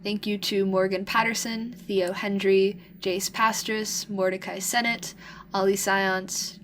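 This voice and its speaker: A woman's voice: mid-range voice, fairly bright tone, a little hiss or hum. A woman giving a casual pre-show announcement for a podcast, as one of its cast. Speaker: podcast cast member thanking patrons.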